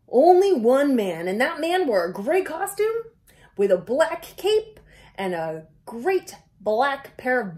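Female children's storyteller, thirties, fairly high voice, hugely varied intonation, expressive picture-book reading. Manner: expressive, exclamatory, dramatic emphasis. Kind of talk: animated picture-book read-aloud to children.

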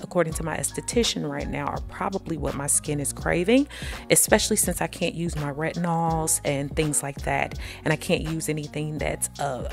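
Chatty woman in her 30s narrating a beauty vlog; low, gravelly voice, soft music underneath. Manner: chatty, addresses viewers as y'all. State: pregnant. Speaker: beauty vlogger. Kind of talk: voice-over narration of a self-care routine.

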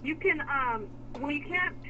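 A woman's voice: high-pitched, a little slow, bright, forceful tone. Woman clerk on a muffled phone line. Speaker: store electronics clerk. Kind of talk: customer service phone call. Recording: dull, noisy telephone line.

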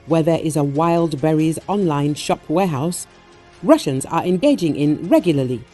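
Mellow low female news narrator. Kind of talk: scripted news voice-over.

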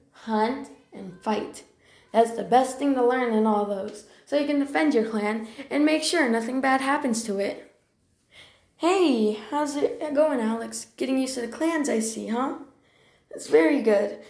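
A woman's voice: fairly high pitch, gravelly, a little mellow. A woman voicing a friendly, welcoming warrior cat in amateur character lines, chatty and encouraging with a newcomer. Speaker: amateur voice actor. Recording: roomy, echoey home recording.

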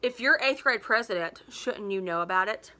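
A woman's voice: medium pitch, normal speed, bright, forceful tone, pitched below the performer's own voice, lightly acted. A woman reading a storybook as an exasperated, know-it-all schoolboy, explaining things emphatically. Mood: incredulous. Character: exasperated, unpopular, know-it-all eighth-grade boy.

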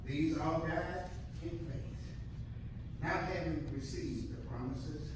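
A middle-aged man preaching a sermon at a pulpit, building to loud exhortation and reading scripture steadily. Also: fairly high pitch, slow pace. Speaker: pastor. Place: church sanctuary, at a pulpit. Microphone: pulpit mic heard from a distant camera. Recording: echoey church room from far off, with hiss.

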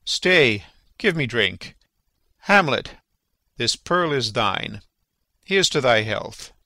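A man playing a gravelly, scheming king. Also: medium pitch, sing-song, slow pace, pushed and bright, no echo, clean studio sound, exaggerated voice. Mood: hearty, false. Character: King Claudius, regal scheming middle-aged villain. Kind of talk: dramatic Shakespeare audiobook reading.

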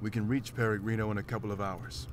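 An actor playing a big, gruff, pragmatic male wolf-like warrior in a video game, serious and firm. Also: fairly low pitch, little pitch movement, fast talker, slight background noise, lightly acted. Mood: urgent, firm.

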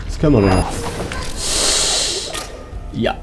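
A man giving casual, colloquial gameplay commentary in speech, talking half to himself and half to his viewers. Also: slow. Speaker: let's player. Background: game music.

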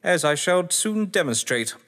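An actor playing a haughty, condescending gentleman in an audio drama, with a patronising, clipped, theatrical delivery. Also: sits high, sing-song, measured pace, slight background noise, heavily acted. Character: haughty, condescending gentleman crime schemer under threat. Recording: reverberant hall-like room.

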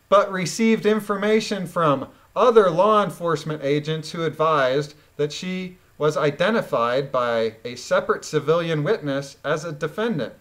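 A woman reading documents aloud and commenting on them in a blunt, emphatic, indignant manner. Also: low-pitched. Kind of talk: reading a court transcript aloud with critical commentary.

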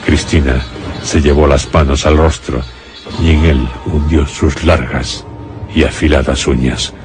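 Very deep, grave male horror narrator. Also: fairly even intonation, music in the background. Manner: grave, deliberate, dramatic pauses. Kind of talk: scripted radio horror narration.

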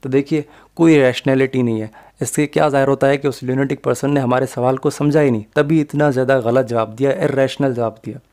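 Male law tutor, Pakistani Urdu accent, flat, dark, mellow delivery; lecture over slides. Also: mid-range voice, fairly quick, hardly any pauses. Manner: mixes Urdu-inflected Hindi with English legal terms, steady explaining.

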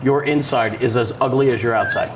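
A man's voice: medium pitch, a little fast. A middle-aged man in casual comedic banter, teasing and questioning with mock seriousness as he co-hosts. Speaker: co-host reading viewer comments. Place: indoor garage workshop. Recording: clear background noise in a workshop.

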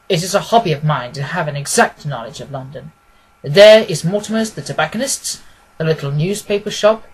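Man voicing a brisk, commanding storybook detective, husky-voiced. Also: sits high, fairly bright tone, low-quality recording. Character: brisk, commanding male detective, keen and confident. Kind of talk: audiobook character dialogue.

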